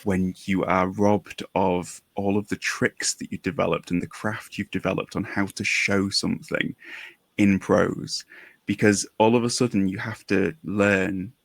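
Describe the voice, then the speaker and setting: A man's voice: low voice, little pitch movement, measured pace, vocal fry, dry recording. A man co-hosting a writing podcast, conversational and engaged, building long explanations that lead into questions. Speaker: podcast co-host, writer. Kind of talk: conversational interviewing.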